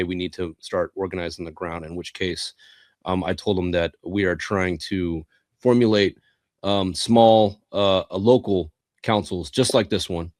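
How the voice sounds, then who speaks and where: A man moderating a community council, measured and formal, with frequent ums. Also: sits low, no echo, studio quality. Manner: measured, formal, says um often. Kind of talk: moderating an online forum.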